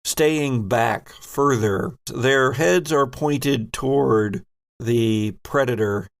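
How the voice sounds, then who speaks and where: Male game master, gravelly fry in a mid-pitched voice, running a tabletop horror game. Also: very slow.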